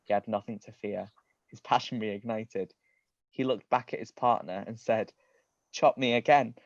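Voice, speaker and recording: A man's voice: fairly low pitch, fairly even intonation, normal speed, no room sound, lo-fi sound. Male reader for a comedy livestream story reading. Dry, close sound, slightly dulled. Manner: often breaks into giggles. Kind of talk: reading comedic fan fiction aloud on a livestream.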